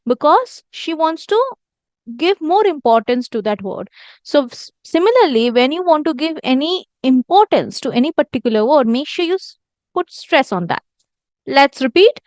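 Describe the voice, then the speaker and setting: A woman's voice: high voice, very animated, normal speed, darkish tone, dry recording. A woman giving an instructional lesson as a communication teacher, explaining emphatically and repeating phrases for stress. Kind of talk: instructional lesson with demonstrated lines.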